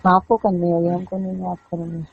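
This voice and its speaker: A woman's voice: on the low side, little pitch movement, normal speed, vocal fry. A woman playing a coy, stubborn call girl on a casual phone call, teasing and coaxing as she repeats herself. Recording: muffled, noisy phone-call recording with clicks.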